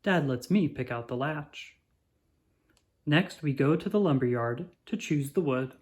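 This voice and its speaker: A narrator reading a children's picture book aloud in an expressive, measured storytelling manner. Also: medium pitch.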